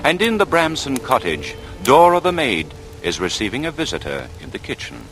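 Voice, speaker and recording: Dramatically swooping mid-pitched delivery from an ominous storyteller. He is wry and measured, narrating an old-time radio thriller with music underneath. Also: huge pitch swings, fairly bright tone. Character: ominous, wry storyteller setting a murder scene.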